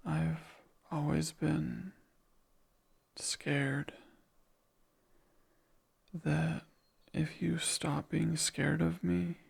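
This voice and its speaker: A man voicing a lonely, clingy male sleep paralysis demon in an ASMR roleplay, speaking in a soft, breathy whisper with slow, wistful musing. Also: a little high, full character voice.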